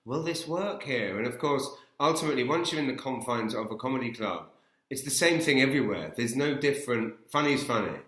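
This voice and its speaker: A man's voice: mid-range voice, lively intonation, fairly quick, fairly bright tone. A British man interviewing in a casual chat, effusive and often stammering over repeated words. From England. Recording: roomy, echoey sound.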